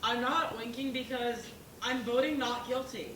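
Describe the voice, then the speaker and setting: A female amateur actor playing a fair-minded, reasonable pig juror in a stage play, measured and questioning. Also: a little high, fairly even intonation, lightly acted. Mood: firm. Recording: echoey noisy room, distant mic.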